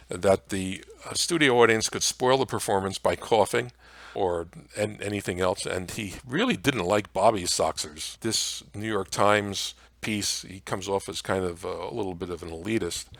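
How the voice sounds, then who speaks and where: A man presenting an informal slide lecture in a halting, conversational way. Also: on the low side, vocal fry, fairly bright tone, no room sound.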